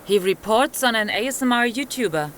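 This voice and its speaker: A woman's voice: on the high side, expressive, brisk, fairly bright tone. A woman playing a lone first-person narrator in an experimental radio play, with music behind her. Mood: reporting.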